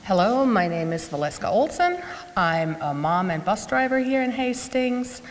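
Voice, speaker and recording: A woman's voice: medium pitch, sing-song, moderate pace. Matter-of-fact woman from Germany. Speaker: bus driver, panelist. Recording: long hall reverb.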